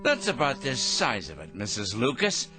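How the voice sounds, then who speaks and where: An actor playing a cold, self-righteous crank obsessed with punishing evil in a radio drama, pompous and sneering in formal, precise diction. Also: male voice, medium pitch, huge pitch swings, normal speed, sharp tone, soft background music. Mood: smug, righteous.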